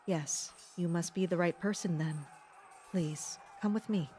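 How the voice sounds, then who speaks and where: A woman playing a composed, perceptive castle guard captain in an audio drama, calm, formal and matter-of-fact. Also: fairly low pitch, unhurried, a little hiss or hum, close to an everyday voice. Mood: composed, polite.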